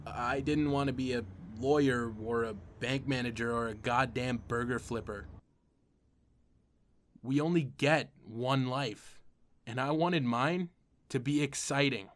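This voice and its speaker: A man's voice: medium pitch, a little hiss or hum, lightly acted. A man playing an earnest, wry teenage comic fan turned amateur superhero, narrating a comic dub in a confessional way. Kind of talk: comic dub, first-person narration and dialogue.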